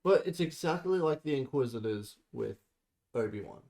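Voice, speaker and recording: Soft-toned, opinionated man chatting on a podcast. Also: medium pitch, expressive, normal speed, gravelly. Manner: informal, slangy, opinionated. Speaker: podcast co-host. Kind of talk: casual chat.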